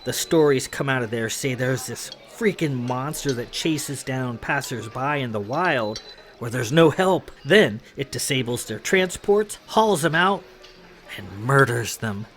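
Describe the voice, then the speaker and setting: A man voicing a brash, bullying young noblewoman with a loud, sneering voice in a read-aloud fantasy story. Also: medium pitch, animated, measured pace. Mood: gushing.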